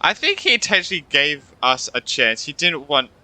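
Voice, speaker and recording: A man giving casual, exclamatory playthrough commentary as an excitable game streamer. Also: on the high side, normal speed, low-quality recording. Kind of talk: casual playthrough commentary, reading lines along.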